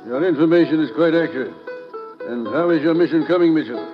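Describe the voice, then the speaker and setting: A man doing a cold, menacing newspaper publisher in an old radio play. He speaks in a formal, clipped way, his voice sitting fairly high. The broadcast sounds dull, with music playing underneath. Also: quick pace, lightly acted. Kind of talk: 1950s radio drama acting.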